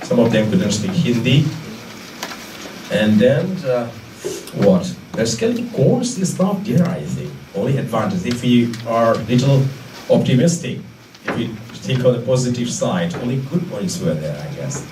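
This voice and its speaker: A man with an Indian accent and Manipuri as his first language gives an informal talk, haltingly searching for words and repeating phrases. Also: mid-range voice, very animated. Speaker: guest lecturer. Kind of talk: informal public talk with audience questions. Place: indoor lecture room. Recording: echoey room, distant mic, faint noise.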